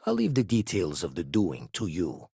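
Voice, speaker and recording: Weathered ex-pirate space veteran in a game, low husky voice, shrewd n guarded, talks in colorful slang. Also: male voice, wildly swooping pitch, mellow, no echo, studio quality, heavily acted. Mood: businesslike. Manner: slang-heavy, measured, theatrical turns of phrase. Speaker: video game voice actor. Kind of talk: scripted game character dialogue.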